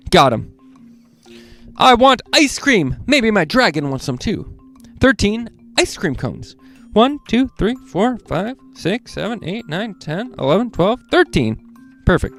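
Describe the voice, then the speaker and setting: A man hosting a children's read-along, reading picture books aloud in an animated way and speaking directly to young listeners. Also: a little high, a little slow, faint music behind.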